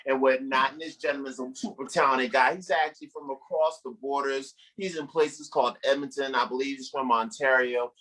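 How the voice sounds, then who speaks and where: A man hosting a comedy show, hyping up comedians in slangy, excited introductions. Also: mid-range voice, a little fast, hardly any pauses, rough recording. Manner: slangy, hyped-up. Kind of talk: hype emcee introducing comedians.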